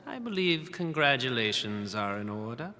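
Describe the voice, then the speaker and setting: A man's voice: mid-range voice, sing-song, moderate pace, sharp tone. An actor playing an imperious, scheming nobleman with formal, mock-courteous speech. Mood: smug, commanding. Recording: long hall reverb.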